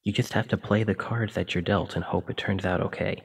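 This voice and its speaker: A man playing a kindly, apologetic sleep paralysis demon in an ASMR roleplay, speaking in a gentle, reassuring, informal way. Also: deep voice, steady tone, brisk, gravelly, breathy edge, far from the mic, full character voice.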